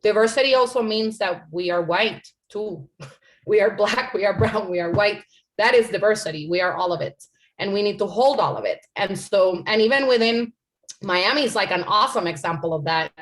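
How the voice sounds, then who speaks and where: A woman's voice: medium pitch, moderate pace, low-quality recording. A woman from Puerto Rico answering questions on a panel, earnest and conversational, often adding "like" and "right?". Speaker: panel speaker.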